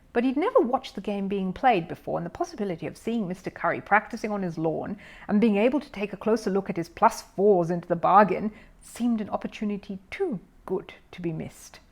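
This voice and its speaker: A woman's voice: mid-range voice, expressive, brisk. A woman reading a children's story aloud as scripted narration.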